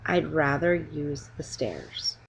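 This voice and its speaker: A woman's voice: sits low, big pitch swings, slow, creaky, a little hiss or hum, heavily acted, low-quality recording. A woman voicing a polite, earnest young male bear from a storybook, slightly hesitant.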